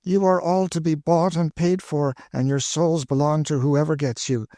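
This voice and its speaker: A man's voice: a little high, steady tone, moderate pace, dark tone, no room sound, voice pushed up, close to an everyday voice. A man voicing a weary, despairing woman who speaks with dry bitterness. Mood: bitter.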